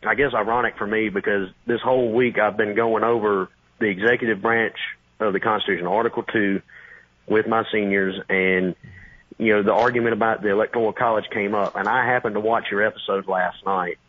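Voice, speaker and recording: Brief: twenties man, husky voice, rambling call-in over a phone line. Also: on the low side, steady tone, brisk, no echo. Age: 20s. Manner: casual, rambling, many fillers. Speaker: caller, high school teacher. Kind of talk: phone-in conversation.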